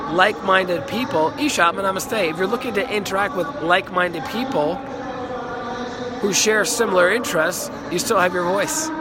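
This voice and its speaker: A man, hoarse from a cold, chatting enthusiastically on a live stream and repeating words as he talks. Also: high-pitched, moderate pace. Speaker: life coach and healer. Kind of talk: casual live stream monologue. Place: outdoors in a city. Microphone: phone.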